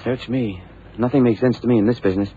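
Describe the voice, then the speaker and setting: An actor playing a plain-spoken, excitable, puzzled sidekick in an old radio drama, colloquial and forever protesting. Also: male voice, medium pitch, fast, close to an everyday voice. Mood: incredulous. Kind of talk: 1970s radio drama acting. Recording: tinny, noisy old radio recording.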